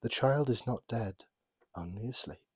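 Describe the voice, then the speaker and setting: Reader as calm male holy teacher, authoritative, mid-pitched, dry scripture drama. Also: moderate pace, husky, dry recording, natural voice. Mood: calm command.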